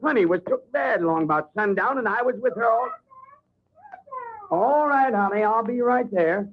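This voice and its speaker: An actor playing a tender, worried rancher father with folksy, halting speech in a radio western. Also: high voice, expressive, narrow, tinny audio. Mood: nervous, urgent. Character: anxious, tender rancher father, a wanted man. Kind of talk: old-time radio western acting. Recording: thin, band-limited old radio recording.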